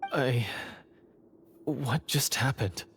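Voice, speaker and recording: An actor playing a remorseful, bitter heir of a monster-hunter family in a dramatic video game, speaking in a halting, confessional way. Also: male voice, medium pitch, fairly bright tone, a little hiss or hum. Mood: dazed, startled. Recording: background music under the dialogue.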